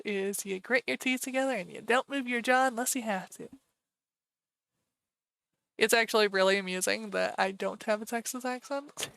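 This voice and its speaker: Bright, crisp-voiced chatty woman. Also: mid-range voice, low-quality recording. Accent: Michigan. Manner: rambling and chatty, with lots of "like" and "you know". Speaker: live streamer. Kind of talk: casual live-stream chat.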